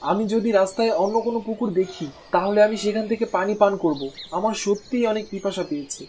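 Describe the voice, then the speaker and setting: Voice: medium pitch, fairly quick, a little mellow, a little hiss or hum, close to an everyday voice. An actor voicing a pleading young brother turned talking deer in a fairy-tale cartoon. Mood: thirsty, pleading.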